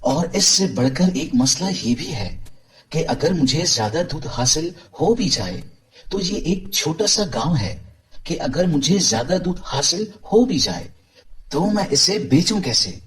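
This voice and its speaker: A man playing a greedy, discontented village milkman in an animated moral story, boastful, scheming and dismissive. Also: on the high side, a little slow.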